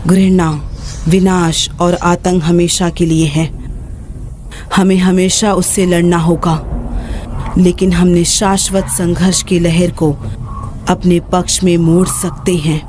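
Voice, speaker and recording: An actress playing a grave, bitter, commanding demon mother in a dubbed dark fantasy game, speaking solemnly and imperiously. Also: fairly low pitch, a little hiss or hum. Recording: music under the voice.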